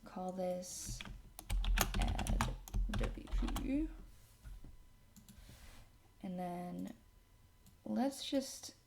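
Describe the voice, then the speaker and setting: A woman narrating a casual software tutorial as its host, working at a computer indoors and sometimes murmuring to herself. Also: fairly low pitch, very slow, creaky, long pauses, background noise.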